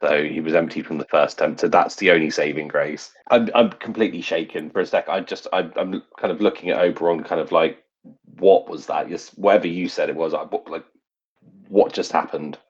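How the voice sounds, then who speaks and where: A man playing a tabletop roleplay game, casual and animated, sweary, slipping in and out of character. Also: sits low, a little fast, slightly rough, muffled recording.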